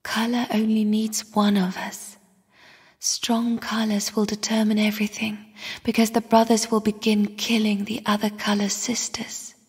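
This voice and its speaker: An actress playing a mysterious, sorrowful young spirit in a video game, speaking in a hushed, solemn, airy whisper. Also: female voice, mid-range voice, flat delivery, husky. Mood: grave, foreboding. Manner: slow, hushed, solemn delivery. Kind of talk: video game character voice acting. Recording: long cavernous reverb.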